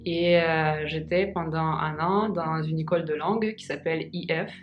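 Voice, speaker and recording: A woman in her twenties whose first language is Russian, talking in a casual vlog and pausing often with fillers and restarts. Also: low-pitched, steady tone, muffled recording, faint music behind. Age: 20s. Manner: hesitant, many pauses and fillers. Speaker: vlogger. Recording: echoey room.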